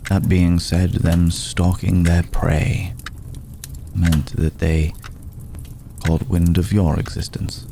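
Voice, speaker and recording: A man playing an ancient, aristocratic male vampire in an ASMR roleplay, formal, courteous and brooding, with a soft, gravelly voice and deliberate pauses. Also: low-pitched, little pitch movement, slight background noise, exaggerated voice. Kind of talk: ASMR roleplay, slow intimate acting.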